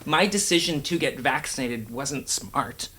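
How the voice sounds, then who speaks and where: A man's voice: mid-range voice, unhurried, a little hiss or hum. A man guesting on a podcast, joking and mocking himself in casual chat. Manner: animated, joking, self-mocking.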